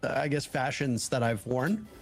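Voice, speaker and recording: A man from Canada commentating live esports, teasing his co-caster and then building to excited hype. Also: medium pitch, measured pace, soft background music.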